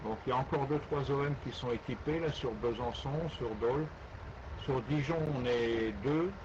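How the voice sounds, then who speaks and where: A man's voice: mid-range voice, moderate pace. A man, an amateur radio operator, chatting casually and colloquially in speech, with frequent tag words. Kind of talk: casual ham radio chat. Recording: dull lo-fi radio link, loud noise.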